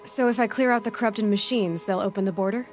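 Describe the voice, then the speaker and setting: A woman's voice: medium pitch, quick pace, natural voice. Determined young huntress, music behind played by a woman. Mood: confident, curious. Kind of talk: video game cutscene acting.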